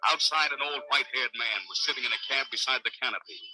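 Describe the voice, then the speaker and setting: An actor playing a tough, brisk American police detective in a clipped, hard-boiled 1940s radio serial style. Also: male voice, medium pitch, music in the background, natural voice, poor audio. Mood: brisk narrating. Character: tough, brisk male police detective narrating his case. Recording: old 1940s radio recording.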